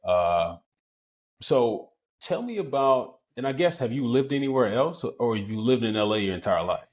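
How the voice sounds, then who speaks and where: A man's voice: medium pitch, big pitch swings, normal speed, a little mellow, lo-fi sound. A man with an American accent hosting a conversational podcast interview. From small town in the United States, outside Los Angeles. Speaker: podcast host interviewing a guest.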